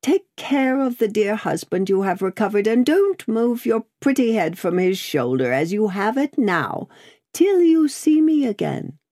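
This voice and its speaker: Storybook servant woman, performed by a female reader: brisk, opinionated, loyal, higher-pitched and animated, emphatic delivery. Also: no echo, clean studio sound. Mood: bossy, fond. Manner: emphatic, indignant, bossy but fond.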